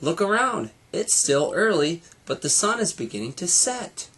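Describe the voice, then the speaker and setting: Guy doing a curious little boy from a storybook, high and swoopy, easily amazed. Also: sing-song, normal speed, fairly bright tone, slightly rough, exaggerated voice.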